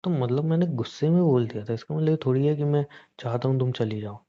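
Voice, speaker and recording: A man playing a young boyfriend, very dark mellow voice, wounded and angry, pleading, English phrases mixed in, dull lo-fi phone call. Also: medium pitch, fast, natural voice. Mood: hurt, relieved. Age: young adult. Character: hurt, angry young boyfriend being dumped. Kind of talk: acted phone-call conversation.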